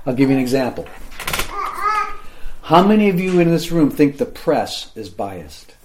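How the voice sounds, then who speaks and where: A man's voice: medium pitch, very animated, moderate pace, background noise. A man teaching the Bible to a group in a room, explaining in a plain, conversational way and often checking in with his listeners.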